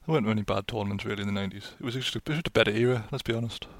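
Male host, gravelly voice, dry close sound, easygoing interviewer. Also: on the low side, racing, no echo. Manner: easygoing, laughs along. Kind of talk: casual interview.

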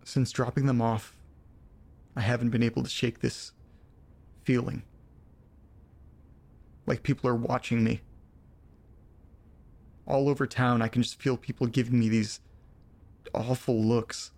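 Man acting as an uneasy, gravelly-edged letter reader. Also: medium pitch, little pitch movement, a little slow, long pauses, darkish tone. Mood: tense, haunted. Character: troubled man reading strange letters aloud, profane and uneasy. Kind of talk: audio drama narration.

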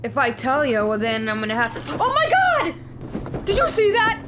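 A woman's voice: high voice, wildly swooping pitch, moderate pace. An actress playing a brash, impulsive, chatty woman on the run in an audio drama. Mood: urgent. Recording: noisy car and roadside scene.

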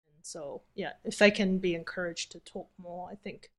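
A woman's voice: on the low side, steady tone, normal speed. A woman in her thirties from Taiwan with a slight New Zealand accent, answering interview questions thoughtfully. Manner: thoughtful, uses fillers. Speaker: interview guest, Airbnb host.

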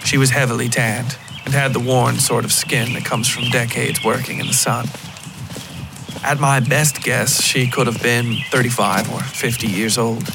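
A man narrating a scripted first-person story in a measured, literary storytelling style. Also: mid-range voice, steady tone, gravelly, background noise. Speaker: fiction podcast narrator.

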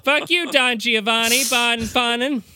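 Male co-host, snarky comic interjections, sharp tone, swooping pitch, close dry recording. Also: steady tone, measured pace, smooth, dry recording. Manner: snarky, sarcastic, quipping. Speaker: podcast co-host. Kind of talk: casual comic banter.

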